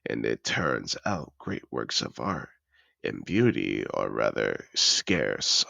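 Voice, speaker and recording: A man's voice: a bass voice, big pitch swings, slow pace, creaky, sharp tone, no echo, exaggerated voice. A man playing a suave, possessive, wealthy dragon in human disguise, telling his story in a refined, theatrical manner in a scripted fantasy audio roleplay. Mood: suave, self-satisfied.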